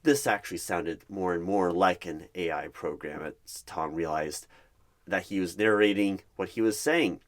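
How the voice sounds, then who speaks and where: A man narrating an ASMR roleplay in the third person, with halting, measured phrasing. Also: low voice.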